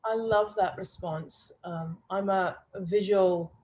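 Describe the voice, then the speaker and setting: A woman with a Scottish accent, a participant on a video call, giving appreciative and somewhat halting feedback. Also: mid-range voice, steady tone, a little mellow. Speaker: workshop participant. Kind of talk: casual chat.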